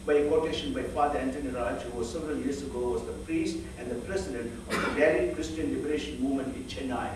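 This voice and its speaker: A man from northern Sri Lanka giving an earnest sermon, measured and full of rhetorical questions. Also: mid-range voice, noisy background. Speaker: speaker at a church fellowship. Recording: echoey hall, voice from far off.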